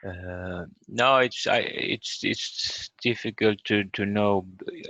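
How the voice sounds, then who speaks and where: A man teaching an online language lesson in a halting, hesitant way over a muffled call. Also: on the low side, sharp tone, dry recording.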